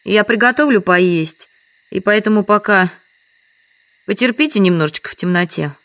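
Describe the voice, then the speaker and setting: An actress playing a meek, apologetic and quietly stubborn village hostess in an audio drama. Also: female voice, mid-range voice, moderate pace, no echo, natural voice. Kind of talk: Russian audio drama dialogue.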